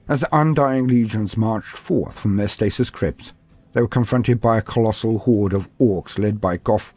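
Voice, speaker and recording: A man's voice: on the low side, warm tone, husky, dry recording. A man narrating fictional war history as a scripted, documentary-style lore storyteller.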